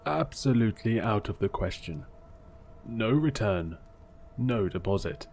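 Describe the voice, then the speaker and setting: A gruff, stubborn old shopkeeper, voiced by a man narrating a story. He talks low and slow, bluntly brushing off complaints without budging. There is a faint hiss of background noise. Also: expressive, a little mellow. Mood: defensive.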